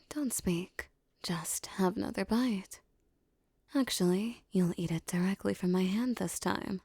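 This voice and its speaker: A woman playing a regal, possessive empress who coaxes and teases a captive servant in soft, condescending tones, often near a whisper, in an intimate roleplay audio. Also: medium pitch, gravelly, slightly rough, dry recording, studio quality, heavily acted.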